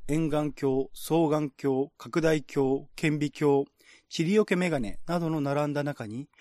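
A man's voice: fairly high pitch, a little mellow, no room sound, clean studio sound. A man reading scripted narration in a radio-drama style, as the narrator of a screenplay.

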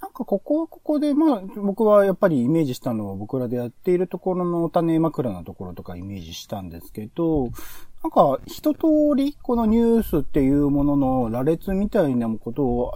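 A man hosts a casual podcast discussion in a very soft-edged, dark tone and at a quick clip. He thinks aloud in a chatty way, with intonation that rises and falls widely. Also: on the high side, no echo. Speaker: podcast host. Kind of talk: casual podcast discussion, introducing and reviewing audio shows.

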